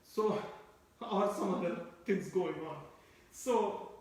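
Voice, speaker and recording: Echoey, distant lecturing man with a high voice. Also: slow, a little mellow. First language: Turkish. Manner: explanatory, repeats phrases, asks listeners rhetorical questions. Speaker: Quran teacher. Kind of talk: tafsir lecture. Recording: very echoey room, voice from far off.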